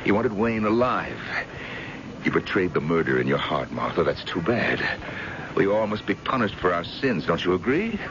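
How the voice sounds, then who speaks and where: An actor playing a middle-aged, menacing and evasive husband in a radio mystery drama. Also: male voice, low-pitched, sing-song. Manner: halting excuses turning to menace. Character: menacing older husband, evasive, then coldly vindictive toward his wife. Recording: noisy, muffled old radio broadcast recording.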